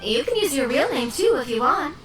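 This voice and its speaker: A woman's voice: high voice, brisk, a loud crowd. An actress playing a playful, theatrical young woman with a teasing, mischievous manner. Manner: lively, teasing, fond of drama. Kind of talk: game voice acting. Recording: distant echoey game audio with music.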